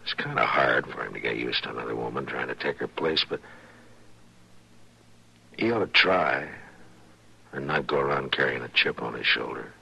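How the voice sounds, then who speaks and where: An actor playing a patient, authoritative frontier marshal in a radio western, speaking plainly and in a measured way with an American accent. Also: male voice, a bass voice, creaky, bright, forceful tone, slight background noise, heavily acted. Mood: gentle, fatherly. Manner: measured, fatherly, plain-spoken. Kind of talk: old-time radio western acting.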